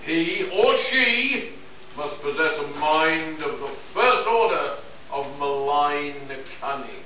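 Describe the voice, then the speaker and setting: A man's voice: on the high side, expressive, slow. Man acting a pompous detective-scientist, distant and echoey. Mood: brisk, commanding. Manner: pedantic, condescending, stumbling over script. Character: know-it-all male scientist detective lecturing on alien plants. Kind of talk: live amateur radio-play reading at a convention. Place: convention room, distant microphone. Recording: noisy echoey hall recording from far off.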